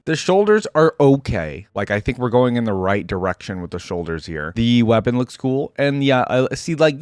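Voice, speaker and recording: A man's voice: mid-range voice, dry recording, clean studio sound. A man giving casual, unscripted reaction commentary on a video game, talking in an informal, rambling way with hedging asides.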